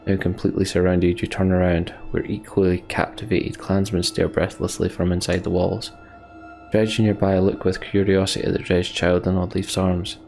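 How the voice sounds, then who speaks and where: A man's voice: sits low, little pitch movement, moderate pace, creaky, a little mellow, faint music behind. A man hosting a let's play, reading story text aloud dramatically and adding sweary, emotional asides. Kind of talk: dramatic narration with casual asides.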